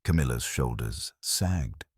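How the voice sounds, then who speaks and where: Very deep husky man narrating detective fiction. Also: animated, slow, darkish tone, dry recording, clean studio sound. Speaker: audiobook narrator. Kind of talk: scripted first-person detective fiction narration.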